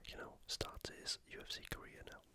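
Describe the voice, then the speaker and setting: ASMR sports recap host, full breathy whisper throughout, deliberate delivery with repeated phrases.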